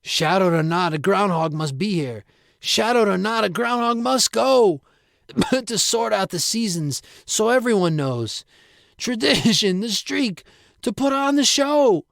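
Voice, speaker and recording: An ailing old storybook groundhog , acted out by a man, pitched well up high. He is anxious and pleading, with a strained, halting delivery. The sound is close and dry. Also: expressive, creaky, fairly bright tone, dry recording, exaggerated voice. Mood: pleading, sickly.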